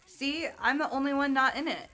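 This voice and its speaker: A woman's voice: high-pitched, a little fast, bright, forceful tone, soft background music. A woman voicing an upbeat teenage girl in an amateur game dub, talking in an earnest, protesting way. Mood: puzzled.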